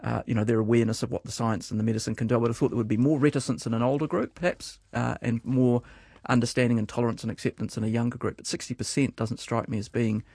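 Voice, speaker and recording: A man's voice: mid-range voice, fairly even intonation, fast, creaky, darkish tone, husky, no room sound, studio quality. A man on a radio panel, rambling through his points with many restarts and ums.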